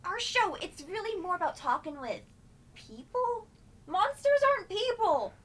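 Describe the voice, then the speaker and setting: A mischievous party god acted by a woman drawls slowly in a very high, swooping voice. The manner is slangy, teasing and laid-back, a young co-host in an amateur audio drama. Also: sing-song, exaggerated voice. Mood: incredulous.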